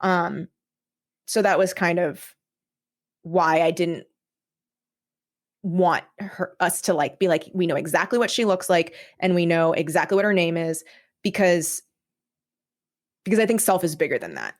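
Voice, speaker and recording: Woman, slightly low voice, casual, reflective, informal, thinking aloud, podcast guest. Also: expressive, unhurried, long pauses. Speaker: podcast guest, audio drama writer.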